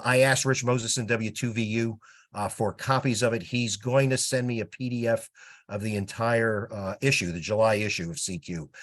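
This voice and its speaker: Low-voiced man giving an update. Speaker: volunteer outreach coordinator giving a report. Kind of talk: informal meeting update. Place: remote teleconference.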